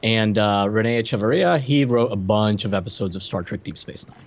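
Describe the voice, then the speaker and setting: A man's voice: lowish voice, brisk, muffled recording. A man co-hosting a podcast, chatting casually about film and television with wry, joking asides. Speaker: podcast co-host.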